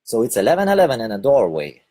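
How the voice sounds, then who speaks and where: A man from Lithuania with a Lithuanian accent, giving a halting spoken monologue and often repeating or correcting his words. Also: medium pitch, wildly swooping pitch, warm tone. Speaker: online video host.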